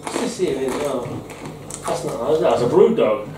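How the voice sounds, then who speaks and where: A man's voice: mid-range voice, lively intonation, moderate pace. A middle-aged man with a casual, tipsy, swearing manner, chatting while tasting beers with a friend at home. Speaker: co-host of a beer tasting show. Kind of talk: casual beer tasting chat. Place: home kitchen, indoors. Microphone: fixed camera across the table. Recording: echoey kitchen with faint background hum.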